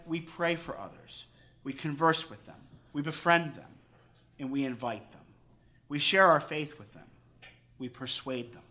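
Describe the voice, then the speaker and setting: A man with a fairly high, very bright and forceful voice delivers a formal written address as a priest at a conference. His reading is measured and emphatic, and each phrase is carefully enunciated. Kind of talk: formal scripted address read aloud.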